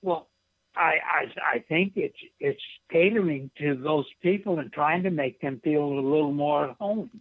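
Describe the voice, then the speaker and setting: An older man, a guest author and poet, talking in a plain, halting way over a narrow phone connection. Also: a little high, no echo. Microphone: telephone call. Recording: narrow, tinny phone line.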